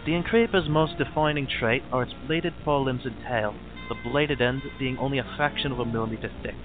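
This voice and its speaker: Lo-fi documentary-style man narrator over music. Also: mid-range voice. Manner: formal, measured, clinical delivery. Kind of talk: scripted mock-documentary narration. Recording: band-limited lo-fi audio with background music.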